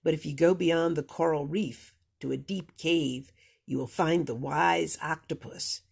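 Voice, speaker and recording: A woman voicing a helpful starfish in a children's storybook, offering guidance in a measured way. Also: deep voice, vocal fry, darkish tone, breathy edge, full character voice.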